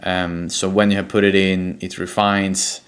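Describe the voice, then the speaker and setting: A man's voice: deep voice, little pitch movement, a little slow. A man from Sweden with a Swedish accent, explaining things informally as an online coach and stressing his key points. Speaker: presenter, personal branding coach for real estate agents. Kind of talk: explanatory talk to camera.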